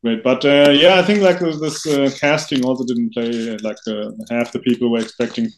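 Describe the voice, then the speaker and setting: A man co-hosting a podcast, chatting casually and haltingly with many fillers and restarts. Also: medium pitch, steady tone, slight background noise, rough recording. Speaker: podcast co-host. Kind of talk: casual chat.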